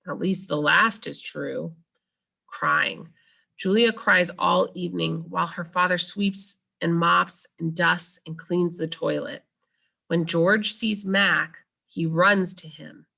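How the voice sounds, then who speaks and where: A woman's voice: on the low side, steady tone, takes it slowly, creaky, bright, forceful tone. A woman reading a children's novel aloud as a storyteller. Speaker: read-aloud reader.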